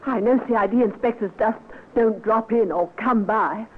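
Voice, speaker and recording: A woman's voice: a little high, moderate pace, lightly acted. A woman playing a forthright, suspicious young fiancée in an old radio drama, on a tinny, noisy recording. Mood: suspicious, insistent. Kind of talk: old-time radio acting.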